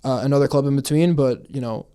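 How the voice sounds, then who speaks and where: A young man from New Jersey, a pro soccer player, chatting casually as a guest with relaxed, repetitive phrasing. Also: medium pitch, fast talker, clean tone. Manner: relaxed, repeats phrases, says "man" and "you know". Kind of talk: casual interview chat.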